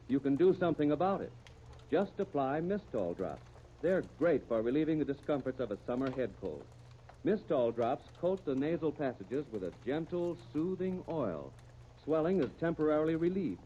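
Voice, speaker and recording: A man's voice: medium pitch, mellow. An American man reading a scripted 1930s radio commercial, on a muffled, noisy old broadcast recording.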